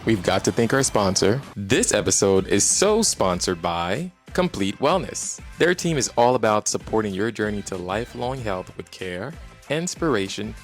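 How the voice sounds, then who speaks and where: A man co-hosting a casual online talk show about couples, laughing often and talking with drawn-out emphasis. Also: lowish voice, big pitch swings, reverberant, soft background music. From Miami. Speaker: co-host.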